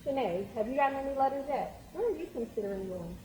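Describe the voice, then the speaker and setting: A woman playing a caring but firm high school coach, giving emphatic advice in a stage play. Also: animated, quick pace, warm tone. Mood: friendly. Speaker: stage actor. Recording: very echoey, noticeable background noise.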